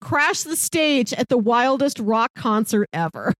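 A woman co-hosting a podcast, playfully pitching and chatting about films, chuckling as she talks. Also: sing-song, measured pace, fairly bright tone, dry recording, studio quality. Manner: chuckles mid-sentence, playful. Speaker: podcast co-host. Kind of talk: casual film podcast chat, pitching films and reading blurbs.

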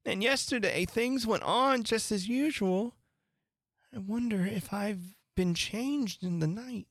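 Woman putting on a little girl's voice, higher than her own, prim, fretful, slow and gravelly. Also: no echo, clean studio sound. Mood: puzzled. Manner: prim, talks to herself in wonder. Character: young girl, curious and fretful.

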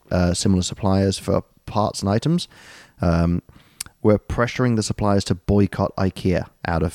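A man with a very low voice rambles through a casual story, filling pauses with ums and tossing in jokey asides. The sound is dry and close, with no room around it. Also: darkish tone, no echo. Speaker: podcast co-host. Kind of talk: casual chat.

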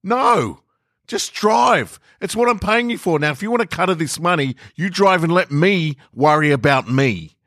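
A man acts out a frantic getaway passenger in a crime story. The robber is bossy and foul-mouthed, snapping impatiently in a voice pushed well up in pitch. The sound is close and dry. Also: animated, normal speed, fairly bright tone, no echo. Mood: demanding.

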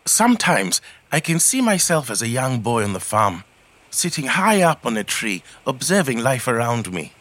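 Reflective man, expressive pitch. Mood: reflective, nostalgic. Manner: measured, reflective storytelling in short phrases. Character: reflective Zimbabwean man in middle age, quiet and thoughtful. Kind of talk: scripted first-person monologue, audio drama storytelling.

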